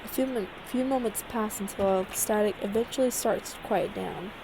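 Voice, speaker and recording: A woman's voice: medium pitch, normal speed, heavy background noise. A woman reading a visual novel aloud as a game video creator, narrating dramatically and expressively with casual asides.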